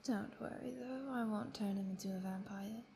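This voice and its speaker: A woman acting a captive female vampire in an intimate roleplay audio, playful, vain and menacing, teasing in a breathy, seductive murmur. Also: medium pitch, moderate pace, warm tone, a little hiss or hum, natural voice. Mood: menacing, condescending.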